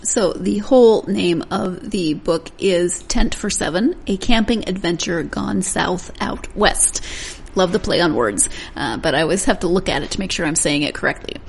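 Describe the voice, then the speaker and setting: A woman's voice: on the low side, moderate pace, creaky, husky. A woman hosting a book podcast, chatting through an intro and catching her own slips with a laugh. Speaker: podcast host. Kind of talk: solo intro to a book interview.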